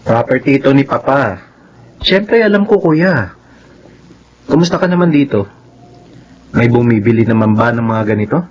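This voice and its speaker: A Filipino actor playing a jealous, menacing lawyer husband and younger brother in a radio melodrama, accusing and taunting. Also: male voice, medium pitch, expressive, moderate pace, pauses often, darkish tone, lo-fi sound, natural voice. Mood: casual.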